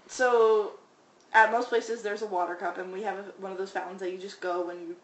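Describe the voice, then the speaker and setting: A woman with a small, soft voice, telling restaurant-work stories in casual chat and mimicking customers. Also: medium pitch, fairly even intonation, brisk, roomy sound, lo-fi sound. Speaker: restaurant worker, podcast guest.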